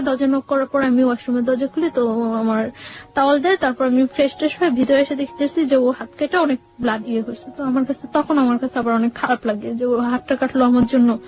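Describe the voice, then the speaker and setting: Young woman, Bangladeshi accent, high pitch, halting personal storytelling, repeats and corrects herself, background music. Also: darkish tone, rough recording. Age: young adult. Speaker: guest telling own life story.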